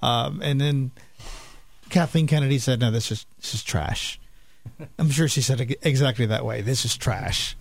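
A man's voice: mid-range voice, normal speed, gravelly. A man chatting casually as a podcast panelist, wry and teasing, with blunt criticism. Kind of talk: casual chat.

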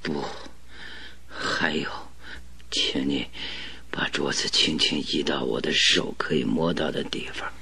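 A gasping, creaky-voiced sick detective. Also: male voice, rumbling low, fairly even intonation, bright, forceful tone, heavily acted. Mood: gasping, pleading. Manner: laboured and imperious, halting. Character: gravely ill, irritable, commanding male detective. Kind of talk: radio drama acting.

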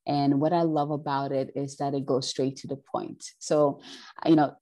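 A woman's voice: very deep voice, level tone, moderate pace, dark tone. A woman from Ghana, a spiritual healer, talking in an earnest, casual way as an interview guest, with many "you know" and "right" fillers.

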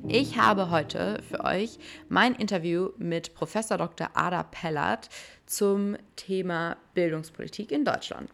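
A young woman hosts an interview podcast in a friendly, upbeat way. She speaks casually and warmly to her guest, with easy greetings and quick reactions. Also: on the low side, vocal fry, faint music behind. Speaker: podcast host.

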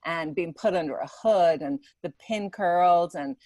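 A middle-aged Irish woman guesting on a podcast, spinning her stories in an animated, chatty flow full of fillers. Her voice sits a little low for a woman, and the recording is close and dry. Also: a little slow, no room sound. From Ireland. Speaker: podcast guest, salon manager. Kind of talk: casual interview storytelling.

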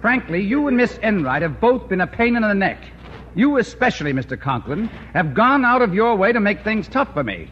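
Cocky photographer, animated, old radio. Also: male voice, sits high, fairly quick. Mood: scornful, blunt. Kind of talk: 1940s-50s radio comedy acting. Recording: muffled, noisy old radio recording.

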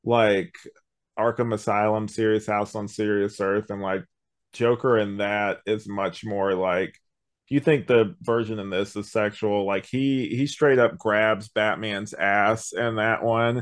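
A man co-hosting a podcast in casual chat, explaining things in a rambling, halting way with many false starts. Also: fairly low pitch, steady tone. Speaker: podcast co-host reviewing an audio drama.

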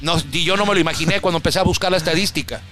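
A man's voice: high voice, very fast, bright, forceful tone, soft background music. A man hosting a baseball talk show, chatting casually and correcting himself as he rambles through statistics. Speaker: baseball stats radio host.

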